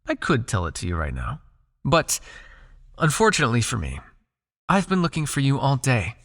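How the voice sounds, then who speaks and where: A man playing a possessive, teasing vampire captor in an intimate ASMR hypnosis roleplay, speaking in a soft, coaxing, commanding way. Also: mid-range voice, creaky, full character voice. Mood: playful, coaxing.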